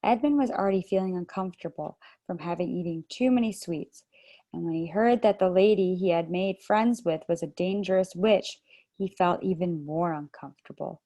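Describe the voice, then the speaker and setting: A woman hosting a children's read-aloud over a video call, narrating a book with steady, gentle storytelling. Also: fairly low pitch, moderate pace.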